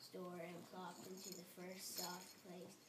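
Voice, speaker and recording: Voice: medium pitch, monotone, a little slow, echoey room, far from the mic, heavy background noise. A young reader reading a children's bedtime story aloud.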